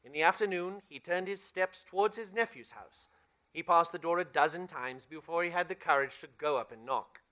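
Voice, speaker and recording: A man's voice: a little high, brisk, bright, forceful tone, highs cut off. A man playing the narrator of a Christmas radio play, telling the tale with emphatic theatrical delivery. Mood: merry, storytelling.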